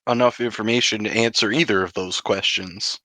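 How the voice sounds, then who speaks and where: A man's voice: on the low side, fairly even intonation, brisk, sharp tone, no echo, rough recording. A man talking casually as a player in a tabletop game, dry and matter-of-fact.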